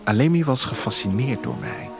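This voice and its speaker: Guy narrating an audio drama, reflective, pauses a lot, mid pitch voice, music under it. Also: animated. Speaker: writer narrating his own audio story. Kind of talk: scripted first-person narration, audio drama. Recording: music behind the voice.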